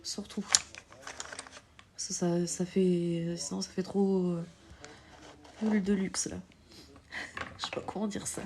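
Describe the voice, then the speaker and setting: A woman with a cold giving a live makeup tutorial on a phone stream, hesitant with many filler pauses, sometimes dropping to a whisper while she works. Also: lowish voice, flat delivery, mellow, slight background noise. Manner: drops to a whisper while applying. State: has a cold. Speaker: makeup livestreamer.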